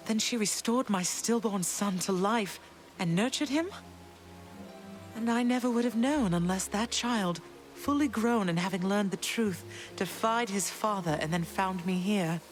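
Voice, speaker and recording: A woman playing a gentle, dignified long-lost mother goddess in a video game, speaking formally and with feeling. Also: medium pitch, measured pace, soft background music, natural voice, low-quality recording. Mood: moved, tender. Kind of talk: dramatic video game acting.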